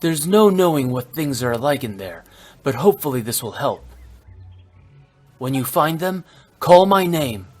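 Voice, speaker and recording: A brooding, youthful immortal warrior from a video game. The voice is husky and mid-pitched, with stern, formal, clipped phrasing. Faint music runs underneath. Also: male voice, big pitch swings, a little slow, pauses often, darkish tone. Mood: solemn. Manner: grave, formal, terse.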